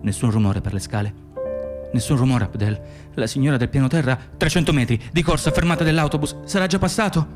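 An actor playing a weary, dutiful Moroccan immigrant hospital worker in a radio drama, speaking in a plain, insistent monologue. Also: male voice, mid-range voice, fast, over music, natural voice. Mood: preoccupied, musing. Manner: clipped inner monologue and plain testimony.

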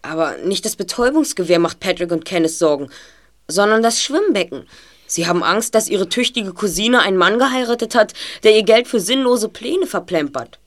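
A woman's voice: mid-range voice, animated, moderate pace, lightly acted. Earnest, precise boy detective played by a woman. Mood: serious, puzzled. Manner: precise, inquisitive. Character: earnest, analytical teenage boy detective leader. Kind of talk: audio drama acting.

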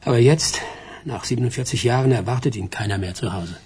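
An actor playing the earnest, loyal son of a mafia boss in a radio drama, with a raspy voice and understated manner. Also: male voice, mid-range voice, fairly quick, darkish tone. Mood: subdued earnest. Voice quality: raspy, husky. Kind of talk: German radio crime drama acting.

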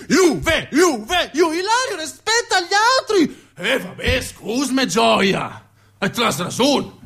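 A man's voice: very high-pitched, a bit bright, voice pushed up, heavily acted. Man playing a frantic football fanatic. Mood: sheepish, stubborn. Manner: exclamatory, repetitive, dialect-heavy. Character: fanatical male Juventus fan, rough dialect, new father.

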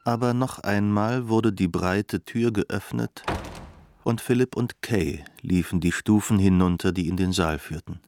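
A man's voice: on the low side, unhurried, a little mellow, no room sound. A man narrating a literary radio play.

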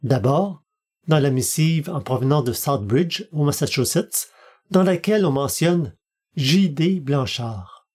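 Podcast narrator, medium pitch, scripted reading, formal, measured delivery. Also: expressive, darkish tone, slightly rough. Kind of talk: scripted narration, reading archival newspaper notices.

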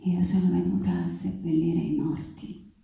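A woman's voice: fairly low pitch, vocal fry, dark tone, voice pushed down. A woman playing a defiant, anguished Antigone in an incantatory, emphatic theatrical monologue. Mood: hushed, mournful. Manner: incantatory, slow, emphatic, with repeated phrases. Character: defiant, anguished young Antigone rebelling against power. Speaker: stage actress and playwright. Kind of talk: poetic theatrical monologue over live guitar. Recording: very echoey, reverberant live performance with guitar.

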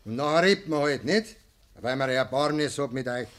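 An actor playing a self-righteous, wheedling village mayor in a rural radio play, speaking Bavarian dialect in an insistent, folksy, self-justifying way. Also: male voice, mid-range voice, animated, fairly quick, fairly bright tone, lightly acted. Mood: placating.

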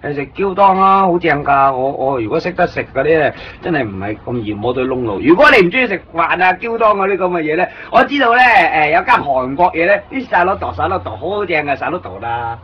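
An actor playing a talkative, excitable young Hong Kong man living in Tokyo who keeps joking and offering to guide a visitor, speaking with a Hong Kong Cantonese accent in a 1990s radio play. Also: on the high side, fast, few pauses, a little hiss or hum, lightly acted. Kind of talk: 1990s radio drama acting. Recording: dull lo-fi 1990s radio recording.

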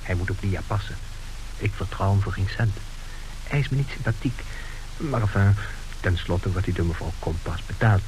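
An actor playing a confiding, sceptical narrator who addresses the audience in an old radio play. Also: male voice, sits low, normal speed, over music, lightly acted, rough recording. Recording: hissy old radio recording.

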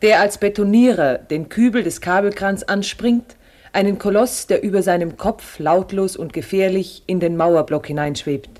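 An actress as the narrator of a 1950s radio play, declaiming scripted literary lines solemnly. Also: female voice, medium pitch, normal speed, a little mellow, close to an everyday voice.

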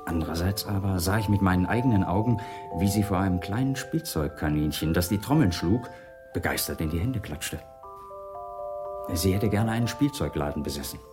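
A big, devoted businessman in his forties in a radio crime drama. His voice is very deep, he explains things earnestly, and music plays clearly behind him. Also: animated, brisk. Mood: earnest, reflective. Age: 40s.

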